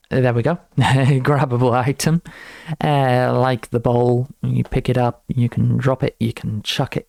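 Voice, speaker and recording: A man's voice: mid-range voice, gravelly, dark tone, no echo. A young man giving a casual, unscripted walkthrough of his own project in an informal, rambling, lightly amused way. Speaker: student game developer presenting own project.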